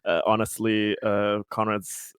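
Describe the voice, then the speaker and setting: A man chatting casually as a tabletop game player, explaining things in a halting way and often repeating words. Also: lowish voice, completely flat delivery, smooth, dry recording, studio quality. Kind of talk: casual post-game chat.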